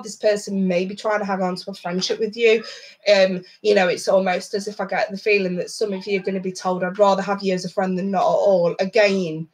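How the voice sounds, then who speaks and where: A woman talks through a tarot spread in a loose, chatty way, her voice thick and nasal from a blocked nose. She is blunt and swears freely, breaking off into asides. Her pitch sits in an ordinary middle range for a woman. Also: poor audio. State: stuffed-up nose. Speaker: tarot reader. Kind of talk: casual tarot card reading.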